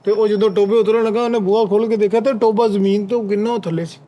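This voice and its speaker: A man with a high voice and a Pakistani Punjabi accent. He rattles off a comic anecdote quickly, over faint background noise. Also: steady tone, warm tone.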